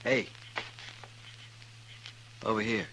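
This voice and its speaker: Menacing male saddle tramp, low voice, needling, faint vintage hiss. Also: very slow, sharp tone, heavily acted. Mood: cool, challenging. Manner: needling, insinuating. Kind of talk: 1950s radio western acting. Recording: noisy 1950s radio drama recording.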